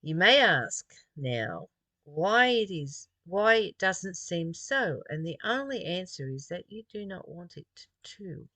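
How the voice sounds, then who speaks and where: Slow-paced reader from Australia in a dry space. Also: medium pitch, sing-song, pushed and bright, no echo. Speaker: host reading and commenting on a spiritual text. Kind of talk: reading aloud with personal reflections.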